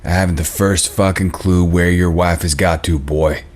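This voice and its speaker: A low, deep male voice from an actor as a western drifter gunslinger. He speaks in clipped, guarded lines with a cool threat underneath, as in a screenplay table read. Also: fairly even intonation, moderate pace, lightly acted. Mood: cold warning. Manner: laconic, guarded, coolly threatening.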